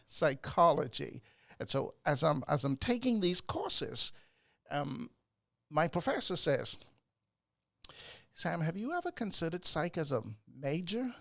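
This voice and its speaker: An older man from the Bahamas, a psychologist guest, telling his story in a measured, gracious way and repeating words as he builds a point. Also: on the high side, no room sound, clean studio sound. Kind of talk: conversational storytelling.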